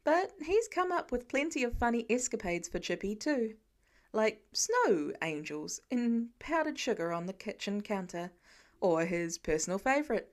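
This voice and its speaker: A woman reading a scripted story aloud as an audiobook narrator. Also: fairly high pitch.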